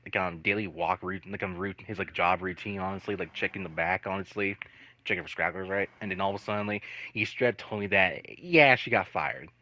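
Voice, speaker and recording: A man telling casual stories in a rambling, halting way, swearing freely and leaning on repeated filler phrases. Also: fairly low pitch, sharp tone. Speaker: host telling personal work stories. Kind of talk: casual storytelling chat.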